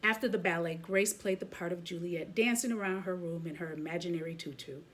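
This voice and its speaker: A woman reading a children's picture book aloud as a teacher would, expressively, pausing to comment to young listeners. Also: fairly low pitch, fairly even intonation, a bit bright.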